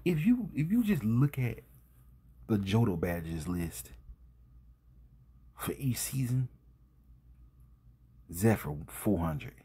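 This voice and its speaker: A man giving informal video commentary as host, husky and breathy, emphatic and halting, full of rhetorical questions. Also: on the low side, very animated, mellow.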